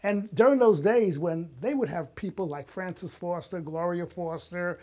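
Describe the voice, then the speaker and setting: An older man chats as an interview guest. His voice sits slightly high for a man. He tells his stories in an animated, enthusiastic way, like casual recollection. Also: moderate pace. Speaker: guest, writer and filmmaker.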